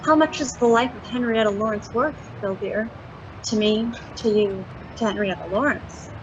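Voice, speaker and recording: A woman playing a sly, flirtatious informant in a detective radio drama, coy, deliberate and insinuating. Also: a little high, natural voice. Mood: coy, scheming. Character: sly, flirtatious female informant selling dangerous secrets. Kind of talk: hard-boiled detective radio drama, femme fatale. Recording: dull, noisy track with street ambience.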